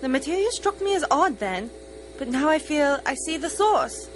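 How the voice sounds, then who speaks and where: A woman playing an excitable, easily frightened ship's crew member in an amateur radio drama, theatrical and urgent. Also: high-pitched, normal speed, a little hiss or hum, poor audio. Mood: curious, uneasy.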